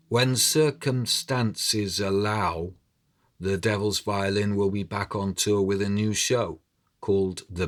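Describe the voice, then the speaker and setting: A man telling stories in a dramatic, theatrical style with deliberate phrasing. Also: lowish voice. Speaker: storyteller. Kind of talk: dramatic oral storytelling. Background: prominent music.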